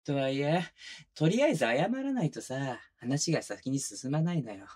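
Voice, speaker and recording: A man playing a cold, sadistic detective, one of twins, condescending and menacing in a breathy, husky voice for a close-miked ASMR drama. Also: medium pitch, animated, normal speed, darkish tone. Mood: coaxing. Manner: condescending, coaxing then commanding. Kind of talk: Japanese situation voice drama, binaural ASMR. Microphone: close binaural microphone.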